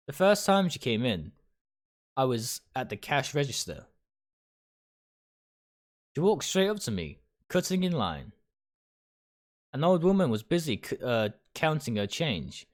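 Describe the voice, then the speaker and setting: A man reading first-person game narration aloud dramatically, in short, deliberate phrases. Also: mid-range voice, very animated. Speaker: game streamer reading a visual novel aloud. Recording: music behind the voice.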